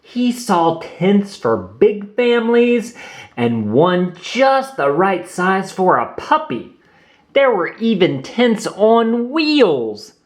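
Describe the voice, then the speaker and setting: A man's voice: sits high, big pitch swings, slow pace. A man narrating a children's picture book, reading aloud expressively with theatrical emphasis for young listeners.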